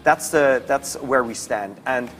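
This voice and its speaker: A man's voice: mid-range voice, unhurried, noisy background. A man with a Swiss accent, an athlete guest telling his story casually in a studio interview. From Switzerland.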